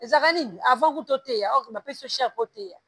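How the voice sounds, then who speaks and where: Web TV host, slightly breathy voice, dry close mic. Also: male voice, very high voice, expressive, fast, a bit bright, no echo. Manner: mixes French and Lingala, frequent short backchannels. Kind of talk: interview questions.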